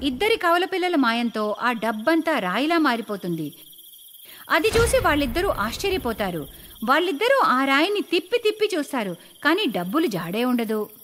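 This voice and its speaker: A woman storyteller narrates a cartoon moral tale from a script. Her voice is very high and animated in its rise and fall, with clear noise behind it. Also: normal speed.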